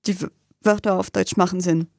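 A woman chatting casually, haltingly searching for words and repeating them. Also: fairly low pitch, fairly even intonation, vocal fry, a little mellow, no room sound, clean studio sound. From French-speaking country. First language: French. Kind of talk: casual studio chat. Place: dry radio studio.

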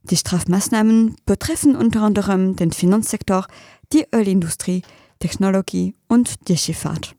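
A woman's voice: medium pitch, normal speed, warm tone, no room sound, studio quality. French-accented woman reading radio news. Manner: hesitant, searching for words, laughs easily. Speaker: news reader. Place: radio studio.

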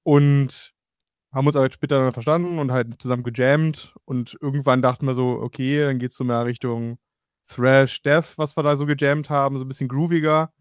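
A man's voice: mid-range voice, fairly even intonation, normal speed, clean tone, no room sound, clean studio sound. A man, the guitarist and songwriter of a band, answering interview questions casually with frequent fillers.

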